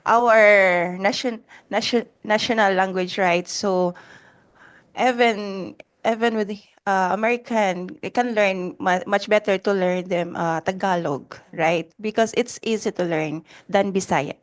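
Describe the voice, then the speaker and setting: A woman's voice: mid-range voice, steady tone, a little slow. A Filipino woman guest on a live stream, answering a question in a hesitant way, searching for words. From Philippines. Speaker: panel guest.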